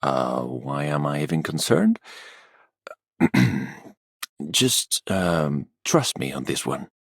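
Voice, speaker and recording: A man playing a gruff, protective ship's crewman in a video game, dry and grudgingly caring. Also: rumbling low, big pitch swings, drawn-out words, no room sound, exaggerated voice. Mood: wry, concerned. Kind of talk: video game character voice acting.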